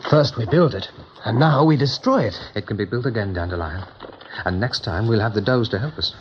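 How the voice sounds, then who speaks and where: A man playing a steady, kindly young rabbit leader in a radio drama, with natural acting. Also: lowish voice, brisk, close to an everyday voice. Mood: thoughtful. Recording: dull radio recording, faint noise.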